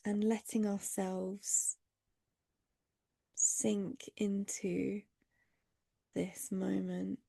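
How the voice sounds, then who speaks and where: Slow, soft-spoken woman guiding meditation. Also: medium pitch, flat delivery, vocal fry, no echo. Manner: gentle, unhurried, soft with long pauses. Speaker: meditation guide. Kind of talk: guided mindfulness meditation.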